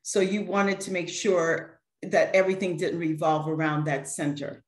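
A woman hosting a group video call, lively and encouraging, laughing easily with guests. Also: on the low side, moderate pace, reverberant. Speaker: host.

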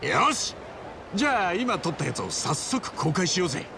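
A man's voice: high-pitched, sing-song, moderate pace, background noise, full character voice. An actor playing a boisterous, big-hearted ex-yakuza man in a video game, theatrical and earnest. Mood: upbeat, earnest. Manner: theatrical, earnest, rough-and-ready. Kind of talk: Japanese video game voice acting.

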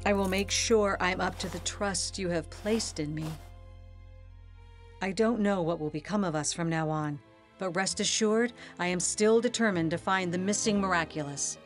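Lady doing a composed loyal villain's assistant, lowish voice, formal, earnest, faint music behind. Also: normal speed, lightly acted. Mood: earnest devotion. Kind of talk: dramatic animated game cutscene acting.

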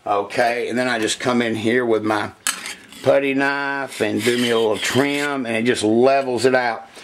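A man's voice: medium pitch, fairly even intonation, normal speed, slight background noise. A man talking casually as he demonstrates engine head porting at a workshop bench, in a plainspoken, folksy way. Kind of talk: hands-on shop demonstration.

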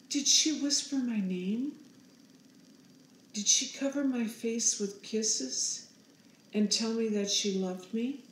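A woman in her sixties narrating a storybook aloud in a measured, solemn manner. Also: fairly high pitch, reverberant, slight background noise, rough recording. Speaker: author reading own picture book aloud.

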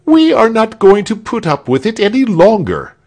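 Man doing a high-pitched, excitable rebel. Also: takes it slowly, vocal fry, full character voice. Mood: indignant. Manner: blurting, protesting. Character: indignant, hot-headed male rebel protesting to his ruler.

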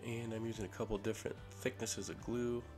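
A man with a head cold, casually talking through a workshop ring build in a relaxed way with fillers. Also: on the low side, fairly quick. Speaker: maker showing a ring build. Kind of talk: casual workshop tutorial voice-over. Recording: voice-over with clear music behind.

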